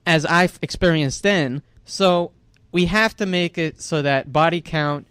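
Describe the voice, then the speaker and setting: A man's voice: on the high side, a little slow, a bit bright, smooth, no echo. A young man from New York, co-hosting a podcast and speaking earnestly and reflectively in casual chat. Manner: reflective, earnest, laughs easily. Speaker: podcast co-host.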